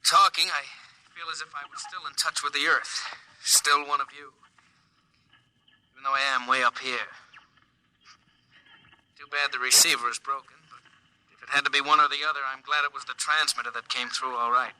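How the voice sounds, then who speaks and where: An American man playing a young astronaut stranded alone in space, musing haltingly in 1950s radio drama style. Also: mid-range voice, steady tone, bright, forceful tone. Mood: wistful, lonely. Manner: halting, musing monologue to unseen listeners. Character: lonely stranded astronaut, a young lieutenant, wistful and reflective. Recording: noisy vintage 1950s radio recording.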